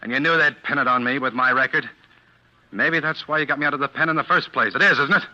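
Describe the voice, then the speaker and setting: An actor playing an earnest, plain-spoken young ex-convict on trial, in 1940s radio drama style. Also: male voice, mid-range voice, pushed and bright. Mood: angry, accusing. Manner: plain-spoken, halting, insistent. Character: accused young ex-convict, earnest, grimly determined under pressure. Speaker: defendant on trial. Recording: dull vintage 1940s radio recording.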